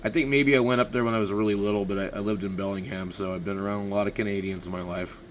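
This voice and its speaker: A man's voice: fairly low pitch, fairly even intonation, rapid-fire, a little hiss or hum, low-quality recording. A middle-aged man from Washington State chatting casually as a ham radio operator. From Washington State, United States.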